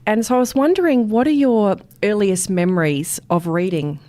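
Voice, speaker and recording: A woman's voice: mid-range voice, moderate pace, dark tone. A woman hosting a podcast, speaking conversationally as she interviews a guest.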